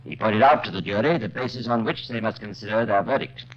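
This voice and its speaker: A man narrating a 1950s radio crime drama in a deliberate, suspenseful scripted style. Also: on the low side. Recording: muffled old radio recording.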